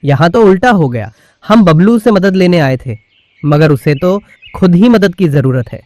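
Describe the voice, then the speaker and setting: A man's voice: sits high, lively intonation, measured pace, a little mellow, no room sound, clean studio sound. A man voicing a firm male pigeon who leads the forest birds in a children's cartoon, speaking decisively as if giving instructions. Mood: resolute.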